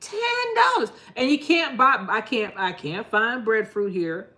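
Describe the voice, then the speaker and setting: A woman's voice: fairly high pitch, sing-song, measured pace, bright, forceful tone. A middle-aged woman from New York chatting casually to her gardening channel, rambling and laughing often. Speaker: gardening vlogger. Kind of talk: casual chat to camera.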